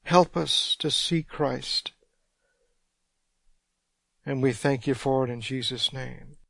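A man preaching a radio sermon, congested, reading scripture slowly and deliberately. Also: mid-range voice, creaky, a bit bright, no room sound.

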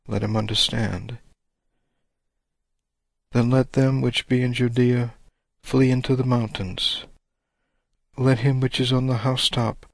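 A man preaching a sermon, reading scripture slowly and deliberately, his voice a little rough and congested. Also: mid-range voice, a bit bright, no echo. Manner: deliberate, measured reading of old-style scripture.

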